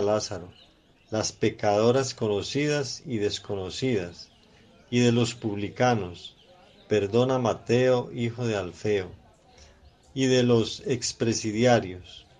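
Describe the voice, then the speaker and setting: A man acting a slow, grave council elder. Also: medium pitch, a little mellow, close to an everyday voice. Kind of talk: Spanish religious radio drama.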